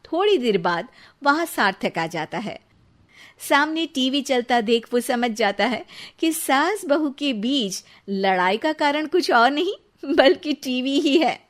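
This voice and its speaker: A woman reading scripted narration for a cartoon moral story in an even storytelling manner. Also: sits high, takes it slowly.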